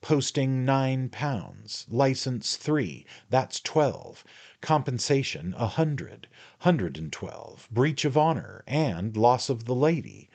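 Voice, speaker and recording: A man's voice: mid-range voice, animated, takes it slowly, vocal fry, a little mellow, voice pushed up, heavily acted. A man voicing a cool, mercenary young male schemer in a storybook, talking in short, clipped phrases. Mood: curt.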